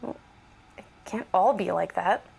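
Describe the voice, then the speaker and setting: A woman playing a gentle, reassuring confidante in an audio drama. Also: fairly low pitch, measured pace, vocal fry, husky, exaggerated voice, poor audio.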